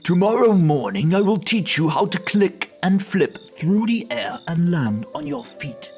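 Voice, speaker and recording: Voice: mid-range voice, sing-song, unhurried, darkish tone, faint music behind, pitched above the performer's own voice. A storybook narrator voicing a wise old click beetle, a patient teacher who instructs reassuringly. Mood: reassuring, instructive.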